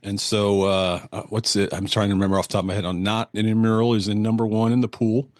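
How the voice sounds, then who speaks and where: A man's voice: fairly low pitch, level tone, no room sound, studio quality. A man co-hosting a sports podcast, chatting casually and often restarting his sentences. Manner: halting, many restarts and fillers. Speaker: podcast co-host.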